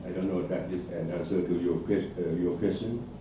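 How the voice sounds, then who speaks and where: A man from Thailand presenting at a conference, answering audience questions in a halting way with many fillers and restarts. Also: sits low, steady tone, background noise. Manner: hesitant, halting, restarts words, frequent fillers. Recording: echoey hall, distant dull mic.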